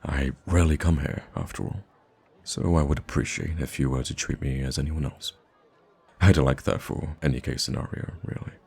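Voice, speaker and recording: A man playing a soft-spoken, wistful and gracious young prince in an ASMR roleplay, speaking gently and haltingly. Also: steady tone, full character voice. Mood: hushed, urgent. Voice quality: very deep, gravelly, husky. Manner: hesitant, gentle, halting with sighs and stammers.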